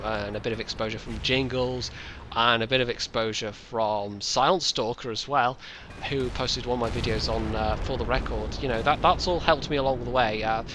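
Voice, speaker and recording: A man's voice: mid-range voice, sharp tone, slight background noise. A man in his late twenties with a light Scottish accent, chatting casually as he answers viewer questions in a rambling, self-mocking way. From Scotland, west coast. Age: late 20s. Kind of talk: casual Q&A chat.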